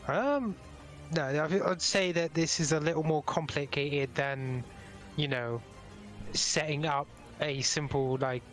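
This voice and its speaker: A man acts as game master in a casual tabletop session. His voice sits a little high, and he explains rules and scenes conversationally, with faint music underneath. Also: unhurried, a bit bright.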